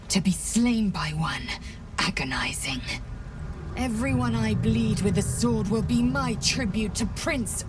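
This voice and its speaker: An actress voicing a proud, fierce githyanki warrior woman in a video game, solemn, clipped and ceremonial in manner. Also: on the low side. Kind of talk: dramatic video game dialogue. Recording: distant, with music underneath.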